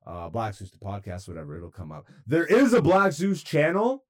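A young man hosting a comedy podcast in a studio, chatting loosely with lots of swearing, swinging between loud hype and low mumbles. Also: wildly swooping pitch, normal speed, no echo. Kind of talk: casual podcast chat.

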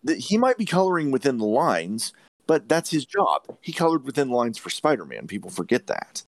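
A man's voice: medium pitch, sing-song, a little fast, no echo, studio quality. A man hosting a podcast, discussing films casually in an emphatic, opinionated way and swearing freely. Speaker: podcast host.

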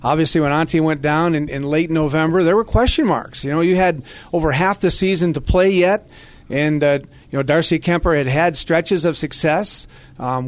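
A man's voice: a little high, no room sound. A man hosting a sports podcast, setting up questions for a guest in a conversational way.

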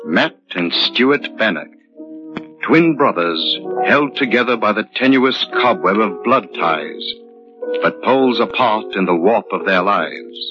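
Slow, grave mystery narrator, old radio. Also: male voice, fairly low pitch, expressive. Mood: solemn. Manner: grave, slow, theatrical, poetic. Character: solemn, mysterious male narrator of eerie tales. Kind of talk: 1940s radio horror narration. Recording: muffled 1940s radio broadcast. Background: loud dramatic music.

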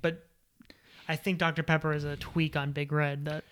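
A man's voice: fairly high pitch, level tone, moderate pace, fairly bright tone. A man in his thirties co-hosting a casual podcast recap, easygoing and playful, often laughing. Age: 30s. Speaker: podcast co-host. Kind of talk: casual reality-TV recap chat.

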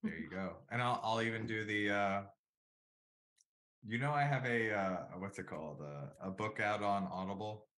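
A man hosting a podcast, chatting in a relaxed, joking way and stumbling over words. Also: lowish voice, normal speed. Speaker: podcast host. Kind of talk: casual interview chat.

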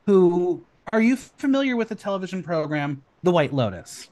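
A man hosting a casual podcast, chatty and excitable, rattling off news with lots of ums. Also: high voice, normal speed. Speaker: podcast host.

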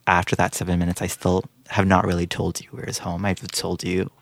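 A man's voice: low-pitched, monotone, brisk, gravelly, dry recording, studio quality. A young man from Trinidad with no accent, speaking as a guest in a reflective personal interview, thoughtful and hesitant with frequent restarts.

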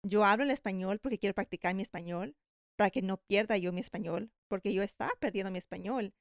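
A woman's voice: medium pitch, fast talker, dry recording, clean studio sound. A woman hosting a podcast, reacting eagerly and telling stories while mixing Spanish and English. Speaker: host. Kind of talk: casual bilingual conversation.

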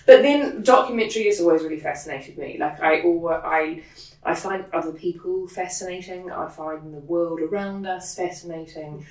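Low-voiced New Zealand woman, quick and animated. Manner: quick, animated, peppered with "like" and laughs. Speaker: interview guest, production head. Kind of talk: casual interview chat. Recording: roomy sound, distant from the mic.

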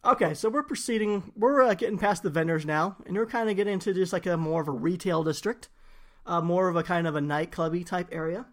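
A man's voice: high voice, fast talker. Laid-back male game master. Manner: relaxed, laughing, filler-heavy narration. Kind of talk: narrating a tabletop game.

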